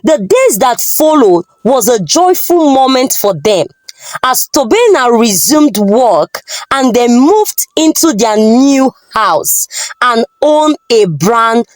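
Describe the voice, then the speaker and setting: High, animated Nigerian woman storyteller. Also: no room sound. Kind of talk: dramatic folktale narration.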